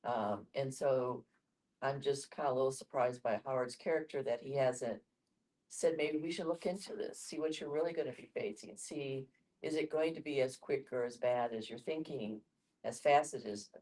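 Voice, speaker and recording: A woman's voice: rumbling low, moderate pace, a little mellow. A woman explaining her view earnestly in a group discussion. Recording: distant microphone in group setting.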